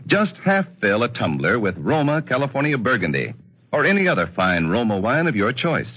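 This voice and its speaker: An American man delivers a vintage 1940s radio advertisement. His pitch swings dramatically as he stresses the selling points with polish. The voice has a slight husk, and the old recording is dull. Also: wildly swooping pitch, fairly quick, husky. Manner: emphatic, polished salesman delivery. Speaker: commercial announcer.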